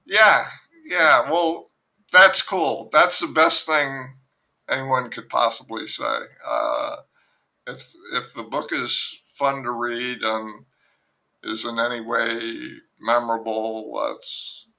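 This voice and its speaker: A novelist talking in a relaxed interview, hesitant and rambling, with many fillers and restarts. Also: male voice, mid-range voice, takes it slowly, gravelly. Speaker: novelist guest. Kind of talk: casual interview conversation.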